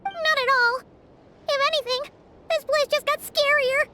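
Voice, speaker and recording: A woman voicing a tiny, chatty girl companion in a video game, excitable and easily spooked. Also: very high voice, unhurried, background noise.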